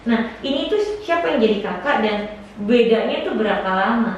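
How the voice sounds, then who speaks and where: A woman interviewer from Jakarta, chatting casually and warmly affirming her guest, often calling her dear. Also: fairly high pitch, lively intonation, normal speed, recorded from a distance, dull audio. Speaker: interviewer host. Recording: echoey room, voice from afar, faint noise.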